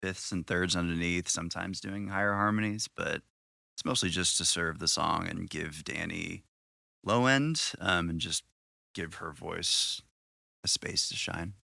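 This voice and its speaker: A man with a low baritone voice, a band singer talking in a relaxed, thoughtful way and trailing off with ums. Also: measured pace, creaky, no echo, clean studio sound. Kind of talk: casual interview chat.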